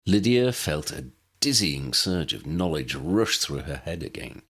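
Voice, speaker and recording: A man's voice: extremely low pitch, big pitch swings, a little slow, fairly bright tone, slightly rough. A man reading a scripted story aloud as an audiobook narrator.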